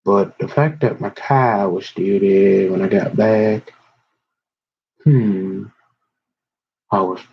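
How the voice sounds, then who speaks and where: Male vlogger, lowish husky voice, meandering storyteller with lots of restarts, muffled lo-fi recording. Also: fairly even intonation, takes it slowly, pauses often. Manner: meandering, restarts often, talks to viewer. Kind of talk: rambling casual monologue. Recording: dull lo-fi audio.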